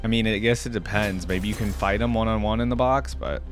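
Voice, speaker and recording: A man's voice: on the low side, fairly even intonation, brisk, faint music behind. A man giving casual reaction commentary, thinking aloud in a tentative way and sometimes reading text out. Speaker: reaction video host.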